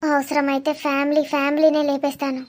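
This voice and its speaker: A woman's voice: sits high, monotone, a little fast, natural voice. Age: adult. Sex: a woman playing a ruthless mother. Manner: cold, menacing. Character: ruthless scheming mother, possessive of her son. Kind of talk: melodramatic audio drama.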